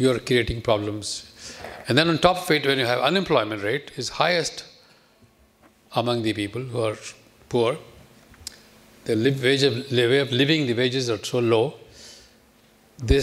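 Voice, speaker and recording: A man's voice: mid-range voice, a bit bright. An older man with a Pakistani accent, speaking as a panelist in a lecture hall, listing his points one by one with frequent restarts. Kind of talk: panel talk. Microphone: podium microphone.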